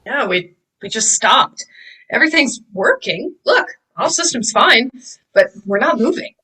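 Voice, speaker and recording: A jittery young trainee mechanic, played by a woman in an amateur drama read. The voice is bright with a husky edge, and the delivery is slow, hesitant and full of awe. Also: a little high, big pitch swings, heavily acted, rough recording. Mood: earnest. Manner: hesitant, halting. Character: nervous, awestruck young male mechanic trainee. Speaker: table read performer. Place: online video call table read.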